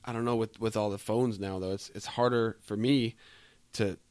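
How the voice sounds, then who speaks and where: A man's voice: on the low side, steady tone, normal speed, darkish tone, dry recording. Laid-back man chatting, dry sound. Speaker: podcast speaker. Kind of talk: casual chat, short agreeing interjections.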